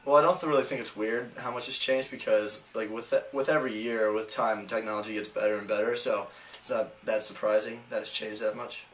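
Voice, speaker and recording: An eighteen-year-old man, a college freshman, answering interview questions in a relaxed, hesitant way. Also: fairly low pitch, fairly quick, low-quality recording. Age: teens.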